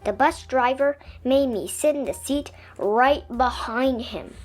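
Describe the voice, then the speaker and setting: Slow, higher-voiced woman reading a storybook. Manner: dramatic, with emphatic pauses. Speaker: picture book reader. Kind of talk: expressive children's storybook narration. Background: music.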